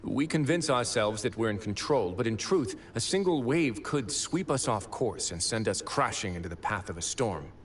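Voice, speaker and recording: A man playing a composed, stern and protective prison warden in an anime game, speaking in a measured, deliberate way. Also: mid-range voice, animated, a little hiss or hum, lightly acted. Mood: earnest.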